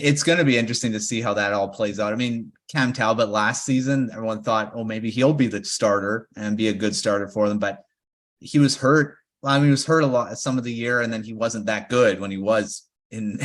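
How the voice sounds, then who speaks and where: A man hosting a casual podcast roundtable, relaxed and quick to chuckle. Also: fairly low pitch. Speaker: podcast host.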